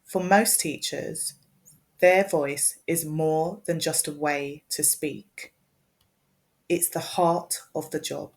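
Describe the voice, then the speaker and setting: A woman, a speech and language therapist, giving a measured, scripted presentation that explains as it goes. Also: sits low, fairly even intonation.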